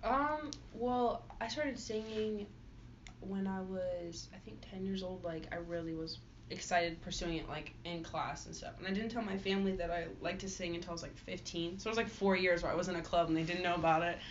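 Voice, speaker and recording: A woman's voice: lowish voice, fairly even intonation, fairly quick. A young woman, a singer-songwriter, chatting casually in an interview and filling her answers with "like". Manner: chatty, many fillers. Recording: dull lo-fi audio with faint noise.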